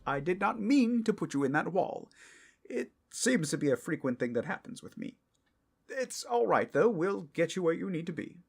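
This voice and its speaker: Man playing a lively, husky, chatty superhero. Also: high voice, moderate pace, exaggerated voice. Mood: earnest scolding. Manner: heroic bluster sliding into casual, earnest rambling. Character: famous male superhero, self-assured, chatty, well-meaning but blundering. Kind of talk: comedic superhero roleplay audio drama.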